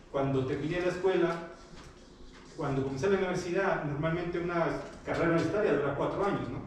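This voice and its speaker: A man's voice: on the high side, slight background noise. Hesitant man speaking in a distant echoey hall. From Guatemala. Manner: hesitant, many fillers and restarts, friendly. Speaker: guest speaker. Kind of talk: informal talk to students. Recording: echoey hall, picked up from afar.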